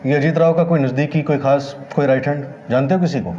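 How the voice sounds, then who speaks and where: A man playing a brisk, probing police officer in a TV crime serial. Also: a little high, steady tone, dark tone, lo-fi sound, natural voice. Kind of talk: Hindi TV crime serial acting.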